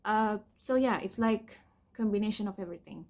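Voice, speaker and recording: Young woman from Sri Lanka, personal vlog, hesitant and candid with fillers, mid-pitched voice. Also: fairly quick. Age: young adult. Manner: hesitant, many fillers and restarts, soft laughs. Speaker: PhD student sharing her cancer story.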